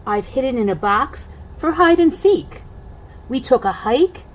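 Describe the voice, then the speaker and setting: Older woman reading a storybook, higher-pitched voice. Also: animated, measured pace, a little hiss or hum, low-quality recording. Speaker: grandmother reading aloud. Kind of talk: children's storybook read-aloud.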